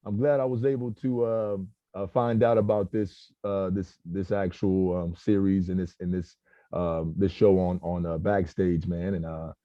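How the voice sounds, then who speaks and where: A voice actor from Southern Maryland, chatting loosely on a video call and laughing, with lots of fillers. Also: male voice, deep voice, steady tone, measured pace, no echo, muffled recording. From Southern Maryland, USA. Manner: laid-back, laughing, many fillers. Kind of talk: casual video call chat.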